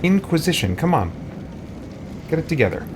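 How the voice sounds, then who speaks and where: A man's voice: a little high, sing-song, normal speed. A man giving casual, rambling gameplay commentary with exasperated exclamations. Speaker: let's play host. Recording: noisy background from game audio.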